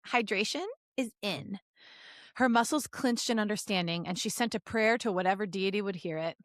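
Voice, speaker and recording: A woman's voice: medium pitch, moderate pace, no room sound, clean studio sound. A woman podcast host reading a story aloud in a playful manner, slipping into joking banter and asides. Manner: playful, breaks off for jokey asides.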